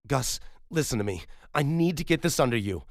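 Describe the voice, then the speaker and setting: A man voicing a brisk, sarcastic woman paramedic in an audio horror story, speaking in a blunt, urgent, clipped way. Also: mid-range voice, animated, no echo, clean studio sound, voice pushed up, lightly acted.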